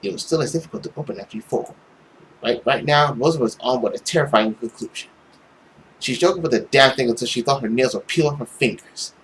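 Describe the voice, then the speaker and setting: A man's voice: mid-range voice, brisk, fairly bright tone, a little hiss or hum, rough recording. A young man reading a visual novel aloud as a let's player, in dramatic narration.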